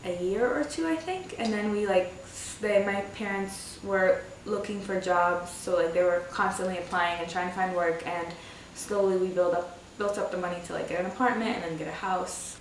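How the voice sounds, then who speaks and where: A woman's voice: on the low side, moderate pace, few pauses, a little hiss or hum. A woman in her twenties from Egypt talking casually and informally in a documentary interview. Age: 20s. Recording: very echoey room, distant mic.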